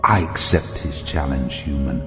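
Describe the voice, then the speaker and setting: A man's voice: a bass voice, unhurried. An actor playing a cold, proud, inhuman Night King in an audio drama, commanding and imperious. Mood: grave resolve. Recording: echoey hall-like reverb under loud music.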